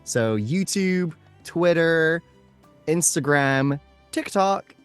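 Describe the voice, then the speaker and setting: A man's voice: on the high side, animated, very slow, fairly bright tone, clear, resonant tone. Man, casual interview guest, emphatic, very varied intonation, light background music.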